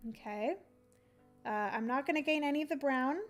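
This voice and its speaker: A young woman on a livestream, talking through her moves in a solo board game and chatting with viewers, her voice going a little hoarse. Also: high voice, normal speed, faint music behind. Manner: chatty, thinks aloud, greets chat viewers. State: losing her voice. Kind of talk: casual livestream play-by-play.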